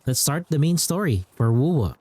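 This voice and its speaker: A man's voice: a little high, sing-song, moderate pace, darkish tone, slight background noise. A man chatting casually as a game streamer, rambling informally and often repeating his phrases. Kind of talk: casual livestream chat and commentary.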